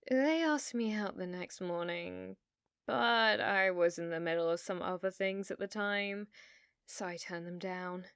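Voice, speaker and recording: A young British girlfriend, acted by a woman in an intimate ASMR roleplay. She speaks softly and unhurriedly at a medium pitch, coy and sweetly manipulative as she teases. The sound is close and very dry. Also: dry recording. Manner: coy, soft-spoken, teasing with feigned innocence.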